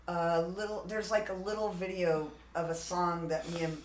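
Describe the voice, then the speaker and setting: A man with a raspy voice, deepened by testosterone, talking candidly and animatedly as a musician guest in a casual interview. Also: moderate pace, echoey room, slight background noise, low-quality recording. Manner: candid, animated, frequent "like" fillers.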